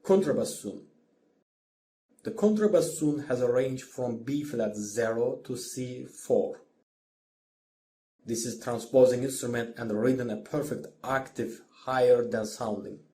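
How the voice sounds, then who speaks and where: A man narrates a scripted lesson in a measured, instructional way. His voice sits at an average male pitch with a mellow, relaxed tone. A faint noise runs under the recording. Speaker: music orchestration lecturer.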